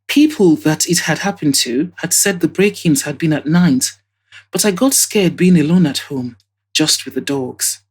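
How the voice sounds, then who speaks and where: A chatty, scornful woman giving her account in an audio drama, played by a female reader. Her voice is low and husky. She speaks emphatically, with indignant asides. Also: lively intonation, moderate pace, a little mellow. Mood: earnest, recalling. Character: Nkiru, chatty, scornful witness, a housekeeping woman.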